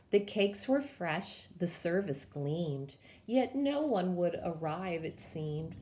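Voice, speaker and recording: A woman presenting story time for young children, reading a picture book aloud in a measured, expressive way. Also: mid-range voice, background noise.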